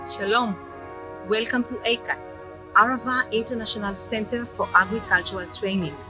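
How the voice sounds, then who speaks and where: A woman, the director and founder of a training center, presenting a guided tour in measured, slightly English. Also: medium pitch, steady tone. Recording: echoey room with music underneath.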